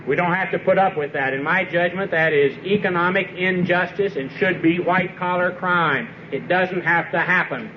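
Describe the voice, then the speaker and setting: Higher-pitched man, earnest political speaker. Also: moderate pace, few pauses. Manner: earnest, exhortative, rhetorical. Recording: dull, noisy archival radio recording.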